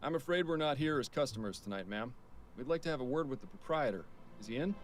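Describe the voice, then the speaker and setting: A man's voice: medium pitch, expressive, fast talker, slight background noise, natural voice. A man playing a dry, businesslike police detective in a voiced adventure game, asking things politely and to the point.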